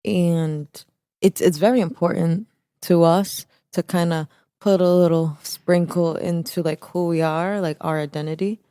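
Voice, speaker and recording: A young woman talks casually as an interview guest. She is animated and restarts her phrases as she thinks aloud. Her voice sits on the low side, recorded close and dry. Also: a little slow, clear, resonant tone, dry recording. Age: young adult. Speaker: guest, business co-owner.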